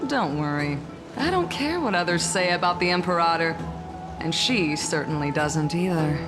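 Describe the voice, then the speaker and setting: A sly, amused video game noblewoman played by a woman. Her delivery is languid and lilting, teasing with a hint of threat. Music runs clearly behind her. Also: on the low side, animated, moderate pace, natural voice, poor audio. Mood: sly amusement. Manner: teasing, languid, veiled menace. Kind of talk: video game character acting.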